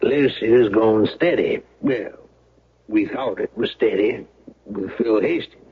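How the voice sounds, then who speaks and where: Slow, husky small-town sheriff. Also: male voice, on the low side, lo-fi sound, full character voice. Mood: reminiscing. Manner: folksy, unhurried. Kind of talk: radio mystery drama acting. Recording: thin, band-limited old radio broadcast.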